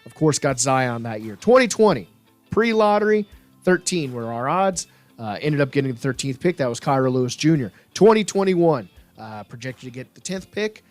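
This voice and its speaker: A man's voice: mid-range voice, sing-song, moderate pace, faint music behind. A man hosting a sports podcast, chatting in an informal, jokey, slangy way. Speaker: podcast host. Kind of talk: casual sports podcast chat.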